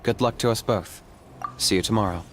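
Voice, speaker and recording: An actor playing a calm young male warrior who speaks tersely and matter-of-factly. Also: lowish voice, brisk, noisy background, close to an everyday voice. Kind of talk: game cutscene dialogue.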